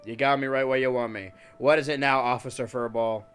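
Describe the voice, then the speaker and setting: A man with a stuffed-up nose hosts a casual live stream, joking with chat and talking to his cats. His voice comes across bright and forward. Soft music plays faintly underneath. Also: medium pitch, fairly even intonation, fairly quick, smooth.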